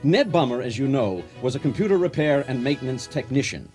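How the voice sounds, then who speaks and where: An actor playing a pompous, folksy orator giving a comic ceremonial speech in a radio drama. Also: male voice, mid-range voice, expressive, brisk, darkish tone, faint music behind, lightly acted. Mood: folksy explaining. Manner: grandiloquent, theatrical delivery.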